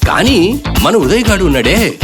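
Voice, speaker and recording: A man's voice: high-pitched, sing-song, normal speed, over music. A man giving playful, colloquial scripted narration as the storyteller of a comedy audio drama.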